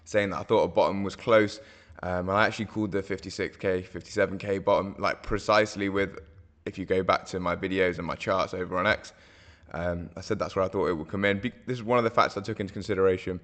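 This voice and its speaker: A hoarse man, walking viewers through market charts in a casual, rambling way, full of fillers and self-corrections. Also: low-pitched, steady tone, fast talker, fairly bright tone. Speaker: crypto market analyst host.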